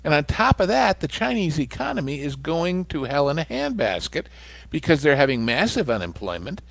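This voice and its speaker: A man co-hosting a casual commentary show, animated and jokey, often restarting his words. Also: on the high side, moderate pace, vocal fry, no room sound. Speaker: podcast co-host.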